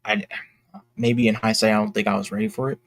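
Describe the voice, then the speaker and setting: A young man talking casually and candidly to his fans in a halting, reflective, earnest way, as an independent creator. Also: lowish voice, fairly even intonation, creaky, dry recording, studio quality. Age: young adult. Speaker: indie comic creator and vlogger.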